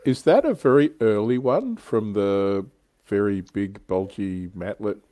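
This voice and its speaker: A man's voice: on the low side, animated, a little slow, mellow, dry recording. A man hosting a casual, jokey hobby livestream, chatting and reading out viewer comments. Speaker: livestream host.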